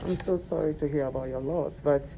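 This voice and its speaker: A woman's voice: low-pitched, fairly even intonation, a little fast, gravelly, noisy background. A woman hosting a panel talk show, talking with animation and repeating words for emphasis.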